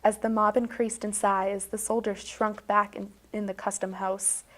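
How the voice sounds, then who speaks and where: A woman's voice: mid-range voice, flat delivery, moderate pace, a bit bright. A woman hosting a video, narrating a tongue-in-cheek bedtime story in a mock-serious, dramatic way.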